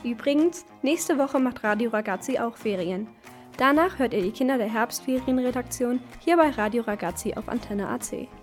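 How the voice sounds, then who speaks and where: A woman's voice: on the high side, moderate pace, soft background music. A female co-presenter of a children's radio show, speaking in a scripted presenting style.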